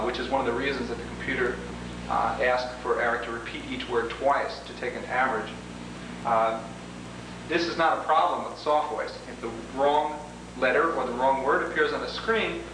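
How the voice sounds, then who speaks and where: A man giving a measured, slightly formal, explanatory demonstration of computer software, as a product demonstrator. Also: mid-range voice. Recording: distant, echoey, noisy 1980s demo tape with hum.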